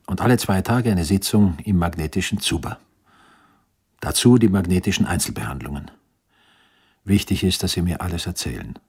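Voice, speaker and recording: An actor in a radio drama playing a self-assured eighteenth-century physician, probing and emphatic, with a husky voice. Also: male voice, deep voice, a little mellow, exaggerated voice. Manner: insistent, questioning, emphatic.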